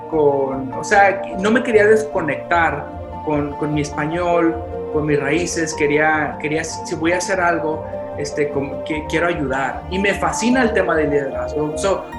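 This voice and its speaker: Halting Spanish-speaking youth pastor, roomy sound. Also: male voice, on the high side. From Chicago, United States. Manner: halting, many restarts and English fillers like "so". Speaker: youth pastor and podcast guest. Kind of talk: casual interview talk.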